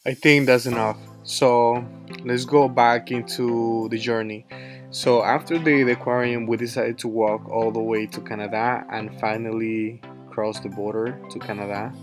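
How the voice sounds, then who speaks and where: A young man from Ecuador with an Ecuadorian Spanish accent, narrating his travel vlog in chatty, loose English full of fillers and the odd Spanish word. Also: lowish voice. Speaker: travel vlogger.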